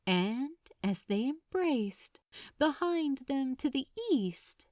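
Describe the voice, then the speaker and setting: Female story narrator, slow and dramatic, lingering on phrases, lively melodic delivery. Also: sits high, no echo, clean studio sound. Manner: expressive, unhurried, lingering on phrases. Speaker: audiobook-style narrator of fan fiction.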